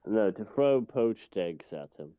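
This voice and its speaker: Deep man on a tinny call. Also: animated, measured pace, dry recording. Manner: dry, teasing jokes. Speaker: stream guest. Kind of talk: casual chat over a call. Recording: tinny phone-like call audio.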